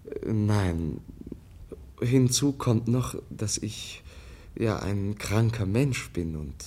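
An actor playing a gentle, naive young Russian prince in a 1950s radio drama, speaking with formal courtesy and some hesitation. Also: male voice, lowish voice, expressive, takes it slowly. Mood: open, hesitant. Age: young adult.

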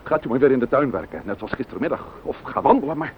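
An actor playing a grieving, indignant widowed neighbour in a radio play, formal and cutting, with a Netherlands Dutch accent. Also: male voice, medium pitch, lively intonation, fast talker, close to an everyday voice. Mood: angry dismissal. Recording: dull, noisy old radio play recording.

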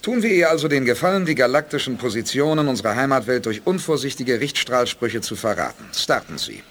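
Man acting a space commander, self-assured and brisk, widely varied intonation, faint noise behind, eighties radio play. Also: mid-range voice, animated, fairly bright tone, close to an everyday voice. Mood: confident, commanding. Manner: brisk, authoritative. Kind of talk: 1980s German audio drama acting.

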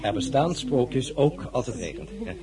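An actor playing a gallant, blunt French general in a Dutch period radio drama. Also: male voice, mid-range voice, big pitch swings, moderate pace, crowd noise, close to an everyday voice. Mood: gallant, probing.

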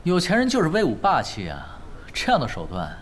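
A man's voice: on the high side, wildly swooping pitch, a little fast, slight background noise. A man playing a laid-back, shrewd gamer in an audio drama, with a teasing, matter-of-fact manner. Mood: casual, analytical. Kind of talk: audio drama acting in dialogue.

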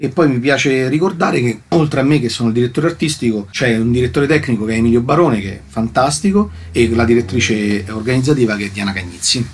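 A man's voice: medium pitch, fairly quick, few pauses, breathy edge. A man working as an artistic director, a guest on a radio interview, carefully spelling out a website and phone numbers. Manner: spells out contact details carefully.

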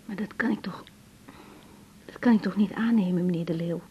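A woman's voice: lowish voice, brisk, slight background noise, natural voice. Young woman doctor, played by an actress, radio drama, troubled, hesitant, searching for words, muffled recording. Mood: nervous, subdued. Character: young female doctor, troubled, doubting, conscientious. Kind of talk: 1980s Dutch radio drama acting with spoken diary monologue. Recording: dull 1980s radio recording.

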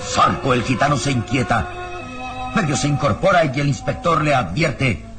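A man's voice: mid-range voice, lively intonation, normal speed. A man narrating a radio serial in a theatrical, suspenseful, scripted style. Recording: radio drama with music underneath.

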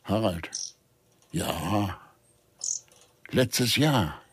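An actor playing a confused elderly father in a radio crime drama, brusque and halting, forgetful and husky. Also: fairly low pitch, lively intonation, fairly bright tone, full character voice. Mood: muddled, sad. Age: older. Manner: halting, forgetful, repeating himself.

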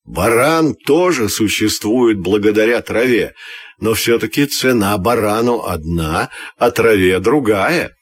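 Man playing a talking fire in a storybook, smug and argumentative, slightly husky. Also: fairly low pitch, expressive, a little slow. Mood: haughty retort. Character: proud fire, mocking, arguing back cleverly.

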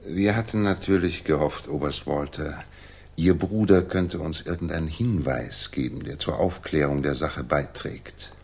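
An actor playing a calm, probing gentleman detective with measured, formal courtesy and a husky voice in a 1960s German radio crime drama. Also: extremely low pitch, full character voice. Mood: composed.

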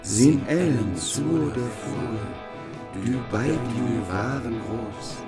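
Very slow male reciter over loud music. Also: mid-range voice. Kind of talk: solemn verse recitation in archaic language.

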